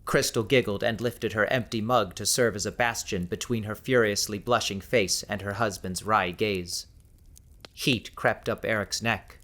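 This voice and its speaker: A man narrating scripted fiction as an audiobook narrator, in a measured, descriptive storytelling manner. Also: lowish voice, steady tone, a bit bright.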